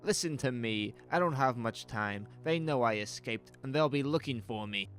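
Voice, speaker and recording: A man's voice: medium pitch, normal speed, soft background music, close to an everyday voice. An actor playing a frantic, paranoid father urgently ordering his family around in a horror audio drama. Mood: urgent, commanding. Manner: urgent, forceful, insistent.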